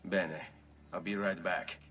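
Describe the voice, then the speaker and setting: An actor playing a confident, courteous and wry young Italian assassin in a video game cutscene, with an Italian accent. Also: male voice, sits low, fairly even intonation, takes it slowly, a bit bright, a little hiss or hum. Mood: urgent.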